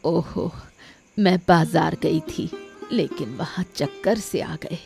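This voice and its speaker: A woman's voice: low-pitched, slow, creaky, darkish tone, soft background music, voice pushed down, full character voice. A woman voicing a frail elderly mother-in-law in a cartoon story, speaking weakly and tiredly. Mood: weary.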